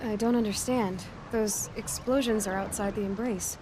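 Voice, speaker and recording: A woman voicing a young outcast hunter in a game, her voice mid-pitched and clear. She is direct, curious and a bit wry, with soft music behind. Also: steady tone, moderate pace, close to an everyday voice. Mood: earnest. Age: young adult. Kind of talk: video game cutscene acting.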